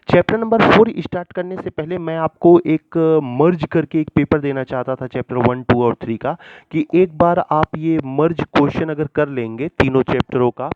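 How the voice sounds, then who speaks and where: A man teaching a recorded school lesson in an instructional way, often checking understanding and mixing in English terms. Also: a little high. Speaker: history teacher. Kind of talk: recorded school lesson, explaining exam questions. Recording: muffled, dull lo-fi audio.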